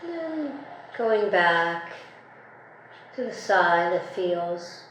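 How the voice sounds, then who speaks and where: Female meditation guide. Gentle, unhurried, long pauses. Very reverberant room, faint noise. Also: on the low side, sing-song. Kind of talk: guided meditation, soothing slow instruction.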